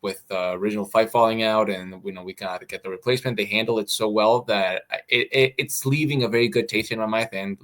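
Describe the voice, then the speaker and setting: A man with an American accent, a fighter answering interview questions in a halting way, repeating words as he goes. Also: lowish voice, steady tone, a bit bright. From Mexico. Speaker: fighter guest. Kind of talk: casual interview.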